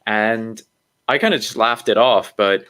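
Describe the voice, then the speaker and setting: A man from Iceland talking as an interview guest, relaxed and rambling with frequent ums and self-corrections. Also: on the low side, unhurried, smooth. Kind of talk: casual interview talk.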